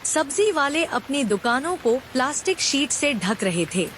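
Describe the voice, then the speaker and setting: A woman narrating a cartoon moral story in scripted, expressive storybook narration. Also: sits high, normal speed, a little hiss or hum.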